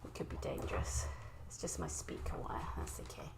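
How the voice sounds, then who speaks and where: A woman from England, chatting casually to viewers on a live stream from her desk, croaky and sniffly with a cold, often laughing. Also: brisk, very noisy. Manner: chatty, giggly, greets viewers by name. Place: home desk indoors.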